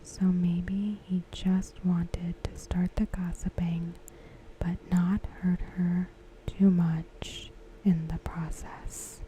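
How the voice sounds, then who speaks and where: Softly whispering woman, very slow and mellow. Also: lowish voice, little pitch movement, slight background noise, low-quality recording. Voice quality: soft, breathy whisper. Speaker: ASMR creator. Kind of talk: ASMR whispered commentary.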